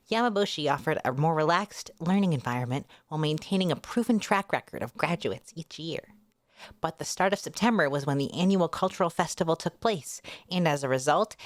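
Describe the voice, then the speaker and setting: A woman's voice: on the low side, dry recording, studio quality. A woman hosting a casual readalong, narrating a novel expressively with chatty asides. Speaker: VTuber host reading a light novel aloud.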